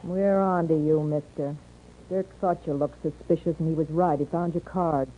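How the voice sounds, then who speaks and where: An actress playing an outlaw's wary, weary wife in a 1950s radio western, blunt and plain-spoken with a rural American accent. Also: female voice, low voice, fairly even intonation, normal speed, warm tone. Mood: suspicious. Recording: muffled, band-limited 1950s radio recording.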